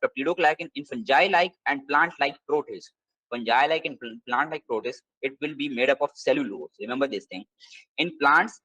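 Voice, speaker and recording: A man's voice: medium pitch, a bit bright, no room sound, poor audio. A man teaching a live online biology lesson, repeating key points and phrasing things in slightly non-native English. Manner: repetitive, drilling key points. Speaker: exam prep tutor.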